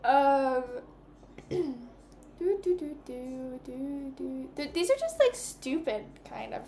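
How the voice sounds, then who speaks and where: High-voiced woman in an echoey room. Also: big pitch swings, slow, dark tone. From Quebec, Canada. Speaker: livestream guest and game partner. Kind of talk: casual chat on a livestream. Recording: roomy echo with clear background noise.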